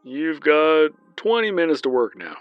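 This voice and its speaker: A man voicing a calm male mission controller who speaks in a steady, businesslike way over the radio. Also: fairly high pitch, measured pace, dry recording, phone-quality sound, faint music behind, voice pushed up.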